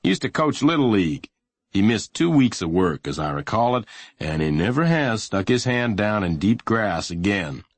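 A big, sagging policeman, voiced by a man reading a story, talks low with a folksy drawl. He is steady and reassuring, and the sound is husky and close. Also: normal speed, no echo. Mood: easygoing.

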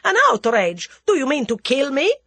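Lady playing a snooty russian count guy, cowardly, formal and dramatic, swoopy pitch, audiobook style. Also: very animated, moderate pace, a bit bright, dry recording. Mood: hysterical fear. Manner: formal, theatrical speech. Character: venomous, cowardly Russian aristocrat man, haughty then panicky.

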